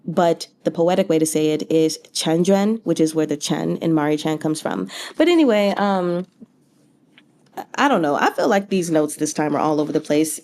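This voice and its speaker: A woman's voice: sits low, animated, normal speed, darkish tone, slight background noise. A woman giving casual, rambling book commentary as a channel host, thinking aloud in an informal, chatty way with slangy asides.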